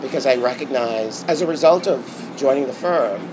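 A man's voice: medium pitch, normal speed. Bahamian man in a reflective interview. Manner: reflective, many ums and restarts. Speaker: interview guest. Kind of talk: casual interview talk. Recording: steady background noise.

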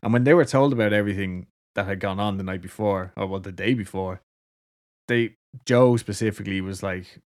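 A man's voice: fairly low pitch, a little fast. A man with an Irish accent casually telling a story to a co-host, laughing often and swearing freely. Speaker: podcast co-host.

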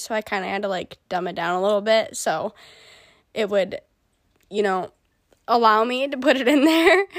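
A woman's voice: fairly high pitch, no room sound, clean studio sound. A young woman in her twenties hosting a casual solo podcast, congested from a cold, chatty with frequent ums. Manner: chatty, many ums, laughs often. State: sick, congested. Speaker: podcast host.

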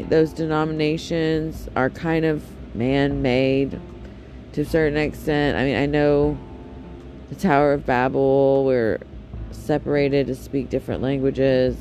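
A man with a deep, raspy voice, chatting casually and candidly on his solo podcast, with frequent ums and self-corrections. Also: darkish tone, slight background noise. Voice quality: deep and raspy, especially in the morning. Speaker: podcast host.

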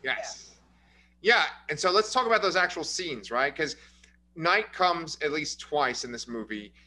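A man's voice: a little high, measured pace. A man co-hosting a casual movie review podcast, telling scary scenes with lots of swearing and laughter. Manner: profane, laughing, animated storyteller. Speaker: podcast co-host.

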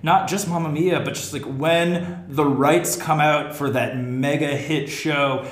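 A man's voice: fairly high pitch, fairly even intonation, unhurried. An American man co-hosting a casual podcast chat about theatre. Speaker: podcast co-host; theatre teacher. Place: echoey indoor room. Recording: roomy, echoing podcast room.

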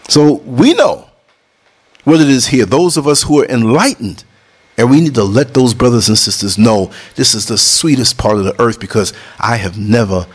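A middle-aged man from New City, talking casually over concert footage in an emphatic, preachy way, swinging his voice up and down. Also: husky. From New York City. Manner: emphatic, preachy, jumps between high and low tones. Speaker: vlogger and podcast host.

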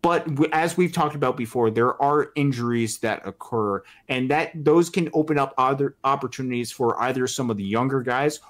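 A man with a medium-pitched voice talks through sports as a podcast co-host. His delivery is earnest and explanatory. He lays out his points in a measured, conversational way. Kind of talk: casual sports commentary.

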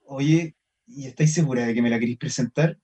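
A man's voice: mid-range voice, a little fast, dark tone, husky, lightly acted. A man acting a wary, somewhat insecure Chilean boyfriend in a naturalistic radio drama, talking in a colloquial, conversational way. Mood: hesitant, teasing. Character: wary, distrustful local boyfriend named Manuel, insecure in love.

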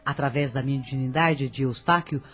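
A woman narrator of a scripted radio drama, husky and dramatic, telling the story with a moralizing turn. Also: very deep voice, normal speed, fairly bright tone, soft background music.

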